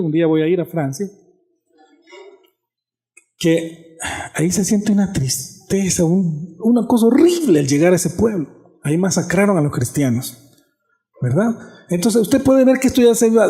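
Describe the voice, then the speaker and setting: A man's voice: high voice, mellow. A man pastor giving a conversational sermon on church history, often restarting phrases and checking in with listeners.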